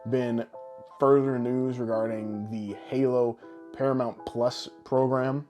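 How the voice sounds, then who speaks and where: A man's voice: mid-range voice, fairly even intonation, slow pace, a little mellow, highs cut off, faint music behind. A man co-hosting a podcast with casual, jokey, rambling showman patter. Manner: boisterous, rambling, tongue-in-cheek showman patter. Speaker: podcast co-host.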